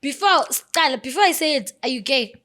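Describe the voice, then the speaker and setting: A woman's voice: high-pitched, lively intonation, brisk, sharp tone. A woman hosting a podcast, asking direct, teasing questions in a casual chat. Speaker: podcast host. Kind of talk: casual interview conversation.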